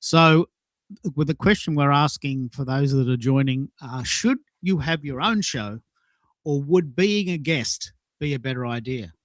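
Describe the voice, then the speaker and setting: A man's voice: mid-range voice, expressive, unhurried, no room sound. A man in his sixties hosting a conversational round-robin talk show, putting questions to guests. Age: 60s. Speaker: show host.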